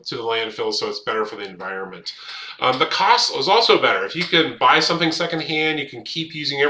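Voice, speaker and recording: A middle-aged man hosts his own video channel with a bright, forward voice. He offers casual advice in plain words, feeling his way through sentences without a script. The room adds a noticeable echo. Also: medium pitch. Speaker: host of a history advice channel. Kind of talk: casual talk to camera, sharing advice. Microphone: desktop webcam setup. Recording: roomy, echoing room sound.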